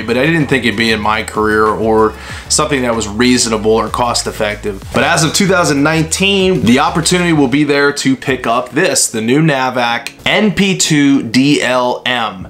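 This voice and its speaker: A man in his thirties, an HVAC technician, casually reviewing a tool at a desk in a small workshop, talking in a relaxed way with fillers. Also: medium pitch. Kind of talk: casual product review vlog. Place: small indoor workshop with bookshelves.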